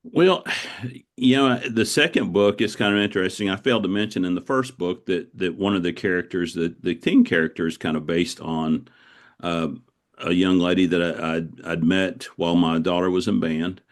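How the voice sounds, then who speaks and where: Deep-voiced middle-aged man, discursive. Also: steady tone, normal speed. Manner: discursive, circling back as he explains. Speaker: author, interview guest. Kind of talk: casual reflective interview conversation.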